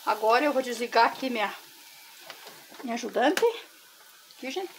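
A woman's voice: a little high, moderate pace, fairly bright tone, slight background noise, poor audio. A middle-aged woman, chatting to her viewers as she shows a cooking recipe in her farm kitchen and keeps calling them "gente". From Brazil. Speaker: cooking vlogger. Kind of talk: casual cooking demonstration.

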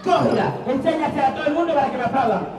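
A man's voice: extremely high pitch, racing. A Cuban man doing comic street magic patter, a playful showman projecting to his audience in an outdoor square. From Cuba. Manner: playful showman, projecting to a crowd, self-deprecating jokes. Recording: echoey outdoor crowd recording from far off.

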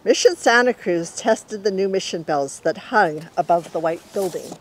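A woman's voice: fairly low pitch, expressive, moderate pace, a little hiss or hum. A woman in her sixties with an American accent, reading a picture book aloud as its author. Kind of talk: storybook read-aloud. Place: outdoor walled courtyard in daylight.

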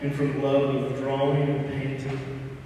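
A man in his thirties giving a eulogy at a church lectern, reading a memorial poem and then speaking about his mother, halting and emotional, trailing off at the ends of lines. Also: medium pitch, monotone, background noise. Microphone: lectern microphone, recorded from a distant camera. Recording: echoey church hall from far off.

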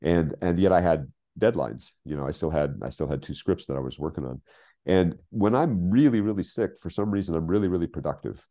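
American male, 60s, very low dark voice, halting emphatic storyteller, casual conversation. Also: lively intonation. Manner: halting, emphatic, repeats phrases. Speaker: guest, scriptwriter. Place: home office, video call.

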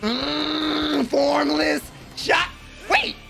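A young American man giving excited, slangy, exclamatory live reaction commentary at a close desk mic in a room at home. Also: very high voice, drawn-out words, gravelly, faint music behind. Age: young adult. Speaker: reaction video host. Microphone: close desk microphone.

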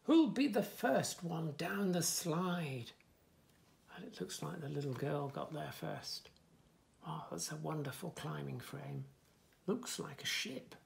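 A man in his fifties reading a picture book aloud to young children, with expressive, sing-song delivery and soft asides. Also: a little high, slow, creaky, slight background noise. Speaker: children's story reader, host. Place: small furnished room indoors, bookshelves.

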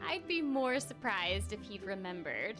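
A woman's voice: medium pitch, sing-song, unhurried. A young woman game streamer giving casual, teasing reaction commentary in a wry, giggly manner. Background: game music.

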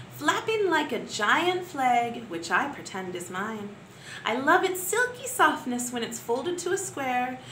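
A young woman teacher reading poems aloud to young pupils in an expressive sing-song way, with gentle asides between poems, filmed at home on a close webcam. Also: fairly high pitch, slight background noise. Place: living room at home. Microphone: webcam at close range. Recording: echoey room with faint ambience.

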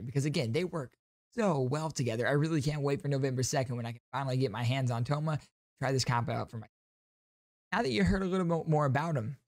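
A man's voice: on the high side, no echo. A young man hosting a gaming channel with enthusiastic commentary, speaking into a close microphone at home. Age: young adult. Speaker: YouTube gaming host. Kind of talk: upbeat game reaction commentary. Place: small home streaming room, indoors. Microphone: close studio microphone on a boom arm.

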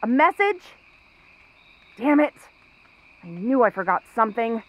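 A woman's voice: fairly high pitch, very animated, measured pace, dull audio. A woman playing a cranky, sarcastic exiled princess cursed into a frog girl, in a fantasy audio roleplay. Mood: irritated, bratty. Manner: snappish, sarcastic, tsundere tomboyish bluster. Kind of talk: fantasy ASMR roleplay acting.

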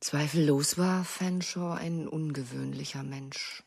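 Genteel, domineering wife for radio drama: low-key gravel, husky, effusive and affected. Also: female voice, steady tone, takes it slowly, darkish tone, full character voice. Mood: haughty. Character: genteel, effusive American wife reminiscing, dominating her husband. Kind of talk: German radio drama acting.

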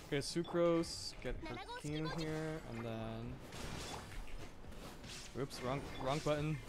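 A man's voice: a little high, slow pace, heavy background noise. A young adult man giving casual live commentary as he plays a video game, muttering to himself and exclaiming in frustration. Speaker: streamer playing a video game.

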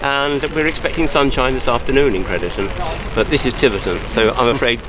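A man's voice: mid-range voice, steady tone, fairly quick, noisy background. An older man chatting in a rambling, jokey way, interviewed outdoors at a rainy show ground close to the camera. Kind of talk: casual interview. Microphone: camera held close.

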